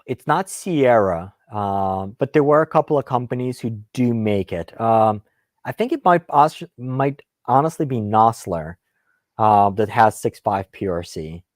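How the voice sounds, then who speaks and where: A man's voice: fairly low pitch, expressive, a little slow, no room sound, clean studio sound. A man whose first language is Russian, hosting a casual live question-and-answer stream about guns in a rambling, self-deprecating, lightly salty way.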